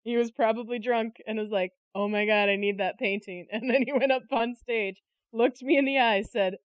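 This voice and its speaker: A woman's voice: a little high, steady tone, normal speed, dry recording. A woman chatting casually as an interview guest, telling anecdotes and often giggly as she talks. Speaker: interview guest, creative director and artist.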